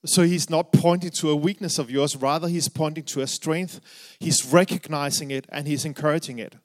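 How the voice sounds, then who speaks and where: A man's voice: fairly high pitch, fairly even intonation, fairly quick. A middle-aged man from Denmark with a Danish accent, preaching earnestly with frequent fillers and restarts. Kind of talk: sermon.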